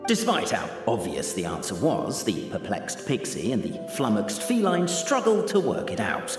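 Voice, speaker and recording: An actor playing a theatrical, self-satisfied storyteller, narrating a mock-epic game tale pompously with hesitant asides. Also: male voice, on the low side, wildly swooping pitch, normal speed, over music. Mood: grandly narrating. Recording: echoey hall reverb.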